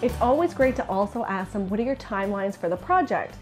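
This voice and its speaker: A woman's voice: mid-range voice, expressive, a little fast, music in the background. A woman with a Canadian accent giving informal instructional advice to viewers as a business coach, chatty and candid. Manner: chatty, candid, acts out client remarks. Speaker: business coach for interior designers. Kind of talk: informal instructional video to camera.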